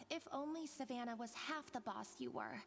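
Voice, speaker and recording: A woman's voice: a little high, huge pitch swings, moderate pace, long reverb tail, music in the background. An actress playing an earnest, loyal young woman working in a kitchen in a video game. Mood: glum, flustered.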